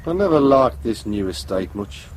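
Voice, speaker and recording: An actor playing a plain-spoken British police constable in a radio drama, slow and reflective. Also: male voice, mid-range voice, sing-song, low-quality recording. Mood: musing. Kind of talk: naturalistic radio drama acting.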